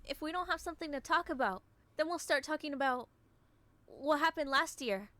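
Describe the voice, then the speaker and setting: A woman's voice: high-pitched, animated, fairly quick, noisy background. A woman playing an earnest, guarded young woman haunted by her past, in a dramatic comic dub. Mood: anxious.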